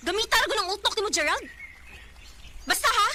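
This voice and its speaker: A woman's voice: very high voice, expressive, brisk. A woman playing a harsh, bossy, nagging mother with a Cebuano Filipino accent in a radio drama. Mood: stern, bossy. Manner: scolding, curt, bossy. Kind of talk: Philippine radio drama acting. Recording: faint background noise.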